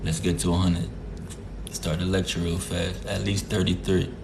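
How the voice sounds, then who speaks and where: A man's voice: sits low, flat delivery, normal speed, vocal fry, background noise. A man from Jamaica hosting a casual live stream, greeting viewers by name in a laid-back, repetitive way. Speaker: livestream host.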